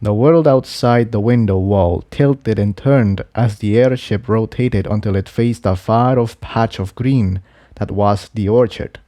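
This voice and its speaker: Mellow man narrating an adventure story. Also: fairly low pitch, a little slow, no room sound, studio quality. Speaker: fan fiction narrator. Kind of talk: expressive scripted story narration.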